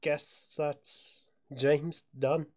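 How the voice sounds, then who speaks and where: A man's voice: fairly high pitch, level tone, very slow, a little mellow. A young British man chatting casually and telling anecdotes as a podcast co-host, often stammering and repeating his words.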